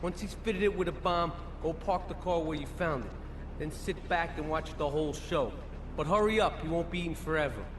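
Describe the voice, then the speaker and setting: An actor playing a cocky, streetwise mob crook who hands out jobs in a video game cutscene. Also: male voice, on the high side, a little fast, close to an everyday voice. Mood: brisk, cocky. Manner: slangy streetwise wiseguy talk. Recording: long reverb, noisy game ambience.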